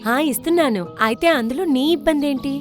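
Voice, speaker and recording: A woman's voice: high voice, brisk, close to an everyday voice. A woman voicing a caring, sensible mother bird in a children's cartoon story. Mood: firm, matter-of-fact. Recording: background music under the voice.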